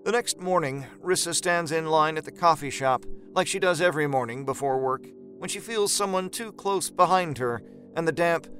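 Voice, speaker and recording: A man's voice: a little high, a bit bright. A man narrating a scripted horror story as part of an audio drama.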